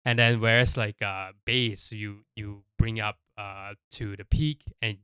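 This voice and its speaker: A man's voice: on the low side, fairly even intonation, unhurried, sharp tone, smooth, no echo. A man from Malaysia hosting a casual podcast, explaining things in a rambling way and often checking in with "right?" and "you know". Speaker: podcast host.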